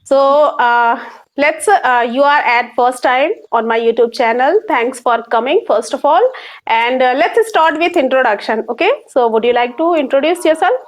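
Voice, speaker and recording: A woman with an Indian English accent hosting a casual interview, halting with many fillers. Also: sits high, expressive. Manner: halting, many fillers, non-native phrasing. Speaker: interviewer and channel host. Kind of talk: casual video-call interview.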